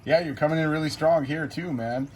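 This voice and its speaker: A man talks over CB radio with clear noise and static around him. His delivery is laid-back and informal, like an operator chatting with another hobbyist during a contact. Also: a little high, fairly quick. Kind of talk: casual radio contact chat. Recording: very noisy CB radio setting, static.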